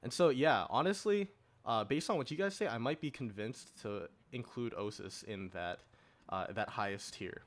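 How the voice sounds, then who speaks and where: A man's voice: mid-range voice, animated, normal speed. A man co-hosting a podcast, talking informally in a casual panel discussion and explaining his views. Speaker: podcast co-host.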